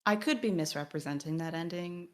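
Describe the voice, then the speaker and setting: A woman with a very low-pitched voice speaks as a podcast host. Her delivery is measured and articulate, laying out an analysis in precise, rather formal wording. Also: a little mellow. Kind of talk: measured analytical discussion of a visual novel.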